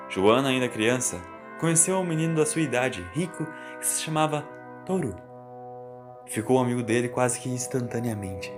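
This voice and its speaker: A man with a Brazilian accent reading a scripted story as its narrator, in Portuguese storytelling style. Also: mid-range voice. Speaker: narrator of an audio fan story. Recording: voice over background music. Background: music.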